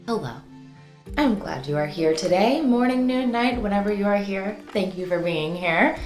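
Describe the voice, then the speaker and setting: A woman's voice: medium pitch, lively intonation, normal speed, echoey room, soft background music. A woman hosting an upbeat instructional vlog, talking informally and playfully with theatrical, sing-song flourishes. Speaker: host and voice-over coach.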